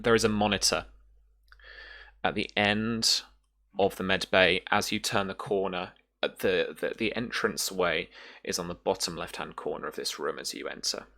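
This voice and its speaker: A man working as game master, narrating a tabletop horror roleplaying game in a halting way, repeating words as he describes scenes. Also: low-pitched, sharp tone, no room sound.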